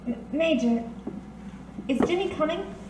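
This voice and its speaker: Lady playing plucky wry radio heroine, slightly high voice, stoic, echoey and distant. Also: expressive, unhurried, a little mellow. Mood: wistful, anxious. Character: plucky woman on trial, resigned yet brave. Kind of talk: audio drama acting in a detective serial. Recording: echoey, distant, faintly noisy recording.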